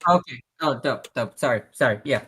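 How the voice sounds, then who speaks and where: A man's voice: medium pitch, normal speed, a bit bright. A man chatting casually as a player in a tabletop game, often hesitant and asking questions.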